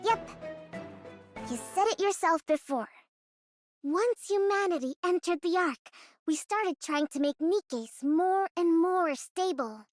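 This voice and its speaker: Very high-pitched, excitable little girl genius. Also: takes it slowly, dry recording, faint music behind, full character voice. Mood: earnest. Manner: childlike, earnest, expressive. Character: brainy, proud, excitable little girl inventor. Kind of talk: voiced game event dialogue.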